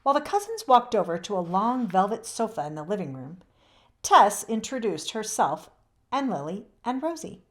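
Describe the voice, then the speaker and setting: A woman narrating a children's book aloud in an expressive storybook style. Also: mid-range voice, moderate pace.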